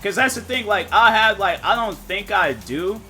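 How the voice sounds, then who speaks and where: A man streaming a game and chatting casually, rambling and thinking out loud with lots of fillers. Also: high-pitched, expressive, moderate pace, faint music behind. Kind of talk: casual live-stream chat.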